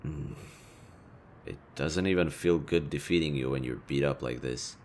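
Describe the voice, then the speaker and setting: A man's voice: extremely low pitch, moderate pace, background noise. A man playing a smug, sardonic city supervillain with a caring streak, speaking to the listener in a casual, sweary audio roleplay. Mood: subdued, respectful. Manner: taunting, casual, sweary, theatrical.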